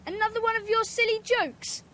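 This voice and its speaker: A woman's voice: very high voice, fairly even intonation, fairly quick, sharp tone. A woman playing a scornful schoolboy in a children's audio drama.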